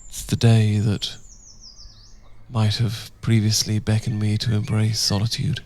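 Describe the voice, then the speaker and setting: A British man playing a tender, well-born Regency husband in a romantic ASMR roleplay, speaking softly and formally with affection, often dropping to a whisper. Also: lowish voice, completely flat delivery, a little slow, close to an everyday voice. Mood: warm, courtly. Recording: noisy, birdsong and outdoor sounds behind. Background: birdsong.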